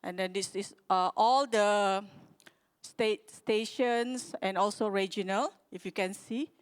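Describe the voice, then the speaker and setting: A woman with a Malaysian accent giving a conference presentation, speaking haltingly and often searching for her words. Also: mid-range voice, fairly even intonation, smooth. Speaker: conference speaker from a public radio broadcaster.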